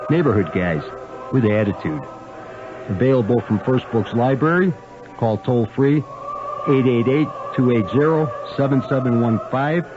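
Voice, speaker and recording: A man's voice: mid-range voice, lively intonation, normal speed. A man reading an opinionated radio advertisement as an author promoting his own book. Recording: dull radio spot over music.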